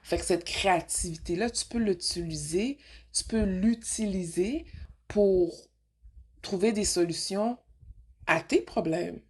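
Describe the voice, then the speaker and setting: A woman's voice: mid-range voice, a little slow, husky. A woman with a Québécois accent hosting a casual radio monologue, rambling and frank, slipping into English mid-sentence and asking rhetorical questions. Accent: Québécois French. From Quebec. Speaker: radio show host.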